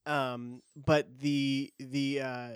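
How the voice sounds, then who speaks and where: A man hosting a casual podcast, friendly and halting, with many restarts. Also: medium pitch, little pitch movement, smooth, no echo, clean studio sound. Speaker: podcast host.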